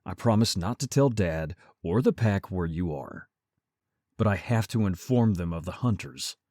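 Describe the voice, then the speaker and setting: A man playing a gruff, protective, teasing werewolf brother with a husky voice and a blunt, guarded way of talking, in an audio drama roleplay. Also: deep voice, expressive, moderate pace, mellow, dry recording, clean studio sound. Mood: worried, stern.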